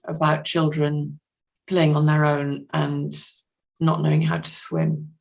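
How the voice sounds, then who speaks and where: A woman guest on a podcast, discussing books casually in a measured, understated way, pausing to think. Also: low-pitched, monotone, vocal fry, a little mellow.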